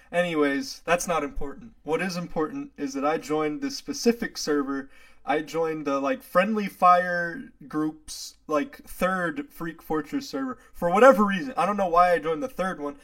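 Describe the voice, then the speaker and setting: High gravelly young man rambling. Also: a bit bright. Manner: rambling, laughs often, lots of fillers. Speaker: podcast host. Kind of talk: casual chat among friends.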